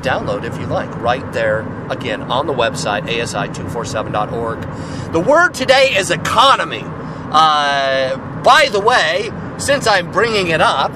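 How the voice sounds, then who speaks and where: Hoarse, raspy man rambling on a podcast. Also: medium pitch, heavy background noise. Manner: joking, loose, self-deprecating. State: hoarse, getting over a cold. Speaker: podcast host. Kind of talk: casual rambling monologue.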